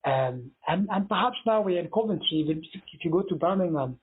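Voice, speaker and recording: A man's voice: high-pitched, expressive, brisk, breathy edge. A man from Somalia who was brought up in Denmark, explaining things conversationally as an interview guest, on a muffled, narrow recording. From born in Somalia, brought up in Denmark. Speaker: interview guest, consultant and PhD student.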